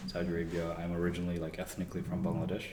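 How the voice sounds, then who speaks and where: Low flat-voiced young man, echoey room. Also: racing, noisy background. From Canada. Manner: relaxed, rambling with frequent fillers and restarts. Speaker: guest, engineer. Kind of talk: casual interview conversation.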